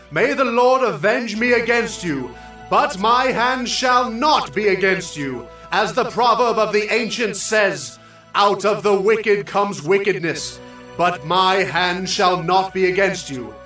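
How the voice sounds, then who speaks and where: A man acting an earnest, reverent young Israelite warrior in a dramatized Bible story, declaiming with drawn-out emphatic words. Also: sits high, pushed and bright, roomy sound, recorded from a distance, heavily acted.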